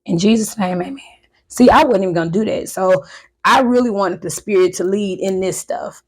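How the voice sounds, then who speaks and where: A woman in her thirties chatting casually and a bit nervously to her viewers, her voice hoarse from recently losing it. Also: medium pitch, moderate pace. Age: 30s. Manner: chatty, nervous, talks straight to viewers as "y'all". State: voice recovering from loss, hoarse. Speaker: vlogger. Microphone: handheld wireless mic.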